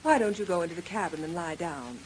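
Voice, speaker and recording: Actress doing polite level-headed female detective, expressive pitch, old time radio mystery, faint noise. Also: fast, lightly acted. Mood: calm, courteous. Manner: polite, inquisitive, controlled. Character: composed, even-handed lady detective questioning witnesses. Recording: noisy old radio drama recording.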